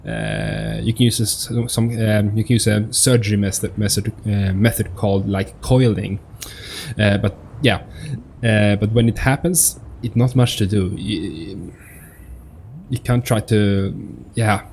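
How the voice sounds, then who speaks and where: A man's voice: lowish voice, fairly even intonation, unhurried, creaky, darkish tone, slight background noise. A man giving casual game commentary as a let's-player, hesitant and often repeating himself while searching for words. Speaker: gaming host, let's-player.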